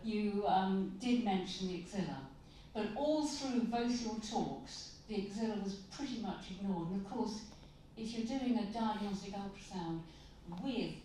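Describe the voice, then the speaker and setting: A woman's voice: mid-range voice, dark tone. Woman radiologist, pointed questioner, in a roomy hall with faint noise behind. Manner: pointed, critical, measured. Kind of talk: audience question at a conference. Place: large conference hall. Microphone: handheld mic passed around the audience. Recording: echoey hall, distant mic.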